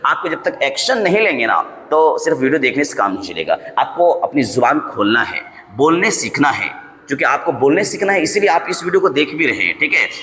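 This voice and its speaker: A man with an Indian accent teaching a spoken English lesson, repeating example phrases slowly and often checking that learners follow. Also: a little high, very animated, bright, forceful tone. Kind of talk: instructional lesson to camera, switching between explanation and example sentences. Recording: echoey room with long reverb.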